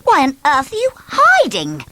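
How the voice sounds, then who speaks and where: A woman voicing a bossy little female storybook character who snaps orders in a loud, sharp voice. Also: high voice, wildly swooping pitch, unhurried, pitched above the performer's own voice, full character voice.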